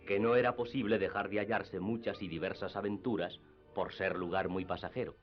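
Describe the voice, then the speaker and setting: Deep-voiced male narrator. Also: steady tone, a little fast. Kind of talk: scripted literary narration for radio theatre. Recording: dull lo-fi radio drama with music.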